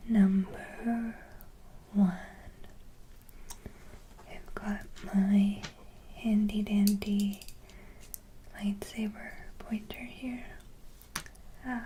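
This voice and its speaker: A woman whispering softly in a casual ASMR style, talking to viewers with frequent hesitant pauses mid-sentence. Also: medium pitch, rough recording. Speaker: ASMR video creator.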